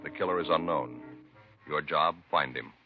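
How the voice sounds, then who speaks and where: An American man announcing on 1950s radio, reading scripted copy with emphatic, measured diction. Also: a bass voice. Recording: muffled vintage radio broadcast with music.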